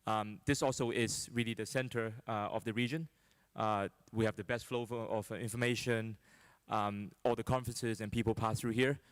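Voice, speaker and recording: Smooth low-voiced man. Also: dry recording. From Hong Kong. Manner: relaxed, many fillers like um and you know. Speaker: panel guest, fund manager. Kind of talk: conversational panel answers. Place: conference stage.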